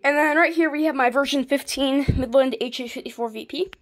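A hobbyist showing off a collection in a casual, halting, offhand way, filmed on a handheld device in a bedroom. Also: high voice, heavy background noise. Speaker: hobbyist video maker. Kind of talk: casual show-and-tell of a collection. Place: small carpeted bedroom, indoors. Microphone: handheld phone or camera, moving around.

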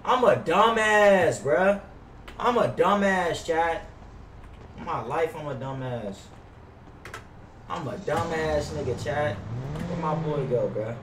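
A man's voice: a little high, lively intonation, slow pace, pauses often, a bit bright, far from the mic. Brash young American man, roomy sound. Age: young adult. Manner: brash, slangy, heavy swearing. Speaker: game streamer. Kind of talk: casual gaming livestream chat with role-play banter. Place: indoor room at streaming setup. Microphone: gaming headset. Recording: roomy, off-mic, faint noise.

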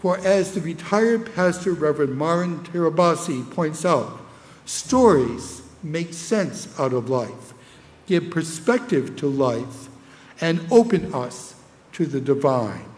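An older man with a New England accent, preaching a measured sermon from a church pulpit microphone, lifting his voice on scripture lines. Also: fairly high pitch, lively intonation. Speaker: pastor. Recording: long church reverb.

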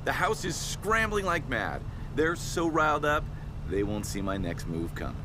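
A man's voice: a little high, very animated, normal speed. A man playing a sly, confident gambler plotting revenge in a video game cutscene. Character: shrewd male gambler ally plotting revenge. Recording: loud engine noise over voice.

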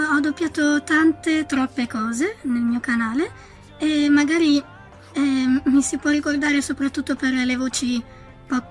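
A young woman, an amateur voice actor, casually greeting viewers close to the camera. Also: high voice.

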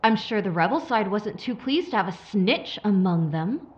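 A woman's voice: mid-range voice, expressive, moderate pace, dull audio, lightly acted. A woman playing a sly, menacing corporate operative in an audio drama, taunting with mock sympathy. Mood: taunting menace. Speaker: villain.

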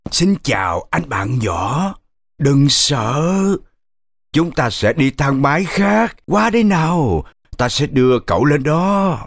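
A man voicing a hapless, excitable grey wolf in a children's cartoon, dramatic and exclaiming. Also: a little high, takes it slowly, no room sound, clean studio sound, exaggerated voice. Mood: coaxing. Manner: exclaiming, dramatic, stammering when flustered.

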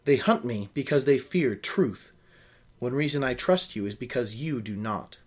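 A man reads the part of a guarded young woman from a storybook. She is earnest and secretive, speaking in a measured way with an expressive, moving pitch. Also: breathy edge. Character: guarded, serious, perceptive young woman on the run.

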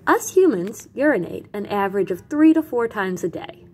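A woman reading educational material aloud as a teacher, with animated emphasis and carefully separated lists. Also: medium pitch, normal speed, slight background noise. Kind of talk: expressive educational read-aloud.

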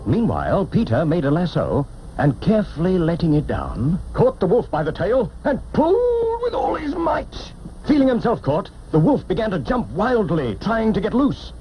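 A man narrating a children's storybook cartoon in a theatrical, expressive way, on an old film soundtrack. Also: high-pitched, moderate pace, low-quality recording. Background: orchestral music.

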